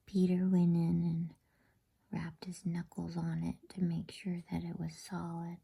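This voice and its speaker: Slow whispering woman reading a bedtime story. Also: fairly low pitch, monotone, creaky, breathy edge. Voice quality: soft unvoiced whisper. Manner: slow, hushed and soothing.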